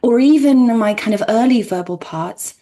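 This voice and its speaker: A woman whose first language is Polish, speaking as a therapist guest in a thoughtful podcast conversation and laughing softly now and then as she explains. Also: fairly high pitch, moderate pace.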